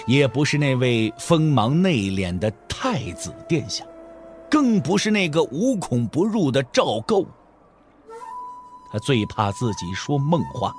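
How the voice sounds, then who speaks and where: A man narrating a dramatic audio drama as a scripted storyteller. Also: a little high. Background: background music.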